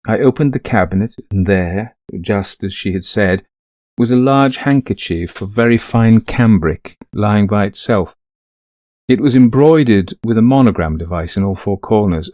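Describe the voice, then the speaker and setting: An actor playing a middle-aged, greying widower in a ghost story, confiding an eerie haunting to a friend in genteel, old-fashioned phrasing. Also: male voice, lowish voice, a little mellow, dry recording, studio quality, natural voice. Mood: haunted, grave. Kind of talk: dramatised first-person ghost story narration.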